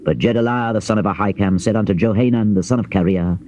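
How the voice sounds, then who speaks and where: Deep-voiced man solemnly narrating scripture. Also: a little mellow. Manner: solemn, measured storytelling with archaic diction. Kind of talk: dramatized King James Bible reading, scripted narration. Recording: dull, hissy old cassette tape transfer.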